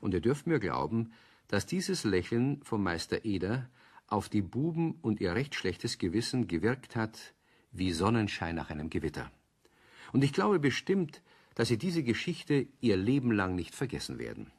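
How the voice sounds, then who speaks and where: An older man narrating a children's audio play as an avuncular storyteller with a husky voice. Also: on the low side, big pitch swings, moderate pace, full character voice.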